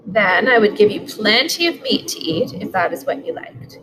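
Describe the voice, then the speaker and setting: A woman's voice: mid-range voice, sing-song, moderate pace, background noise. A woman, reading a picture book aloud at home. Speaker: school superintendent reading aloud. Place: living room sofa, indoors. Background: music.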